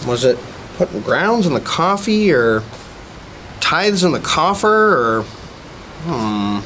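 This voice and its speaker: Surf instructor from a spooky comedy sketch, played by a man: higher-pitched, very animated, hammy and musing, slight background noise. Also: slow, heavily acted, rough recording. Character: disbanded monster gang member turned laid-back surf instructor. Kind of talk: campy comedy sketch acting.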